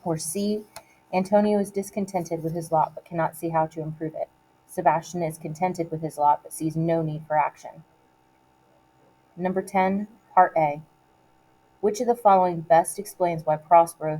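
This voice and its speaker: A woman reading aloud like a teacher giving a test, in a measured, deliberate way with short, separated phrases. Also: low voice, steady tone, dark tone, rough recording. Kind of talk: read-aloud of multiple-choice questions and quoted play lines.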